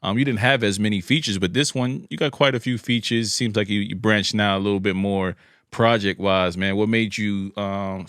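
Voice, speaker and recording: A man in his forties from Brooklyn hosting a casual podcast interview, talking in a relaxed, rambling way with many restarts. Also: low voice, dry recording, studio quality. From Brooklyn, USA. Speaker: podcast host.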